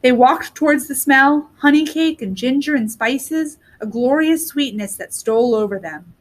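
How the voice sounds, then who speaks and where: A woman reading a children's story aloud in an expressive storytelling style. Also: sits high, moderate pace. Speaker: storybook reader.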